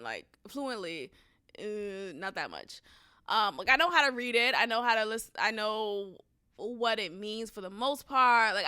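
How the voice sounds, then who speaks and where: A young woman hosting a podcast, telling a story with an animated, emphatic delivery and frequent swearing. Her voice sits a little high and sounds bright and forceful. The recording is dry and close. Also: clean tone, no echo. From United States. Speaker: podcast host. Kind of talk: casual storytelling.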